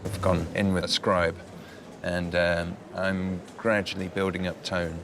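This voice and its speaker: A man printmaker calmly and methodically explaining his process while he works. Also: deep voice, a little slow, vocal fry, over music. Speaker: contestant printmaker.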